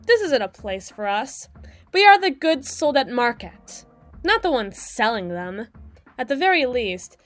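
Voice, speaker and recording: A woman's voice: on the high side, sing-song, measured pace, no echo, soft background music. A woman playing a bitter, snarky demon club DJ in an ASMR roleplay audio drama, with a biting, exasperated, foul-mouthed delivery. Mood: bitter, ranting. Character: snarky, crass, fast-talking female demon club DJ, bitter and defensive.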